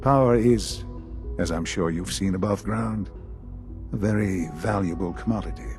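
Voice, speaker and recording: An actor playing a grave older institute leader in a video game, speaking formally and deliberately with measured pauses. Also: male voice, low-pitched, over music. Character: grave older male leader of a secretive science institute, dying.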